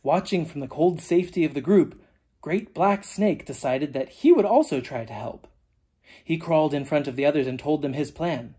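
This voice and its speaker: Children's read-aloud, soft-edged husky voice, mellow tone, expressive, deliberate storytelling. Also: medium pitch. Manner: animated, deliberate storytelling with dramatic emphasis. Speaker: storyteller reading a children's book aloud, teacher.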